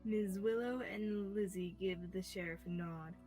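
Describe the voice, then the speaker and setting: A woman's voice: medium pitch, fairly even intonation, measured pace, warm tone, soft background music, low-quality recording. A young woman reading scripted narration for a radio-style mystery play. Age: young adult.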